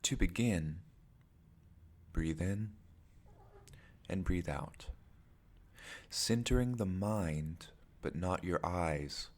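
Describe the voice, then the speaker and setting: Male meditation guide, very low-pitched, unhurried and slow, deliberate phrasing with pauses. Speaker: meditation teacher.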